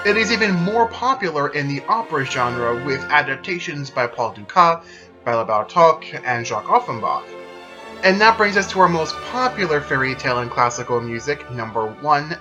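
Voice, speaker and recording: Male presenter, slightly high voice, steady scripted narration, soft music under it. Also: moderate pace. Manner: steady explanatory retelling. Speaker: video presenter.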